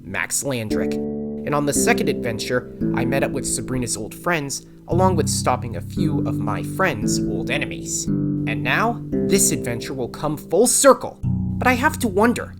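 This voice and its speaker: An actor voicing a plucky young male raccoon adventurer in an audio drama, with an animated, dramatic delivery. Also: mid-range voice, moderate pace, loud music behind the voice. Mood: earnest, dramatic. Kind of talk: audio drama narration and acting.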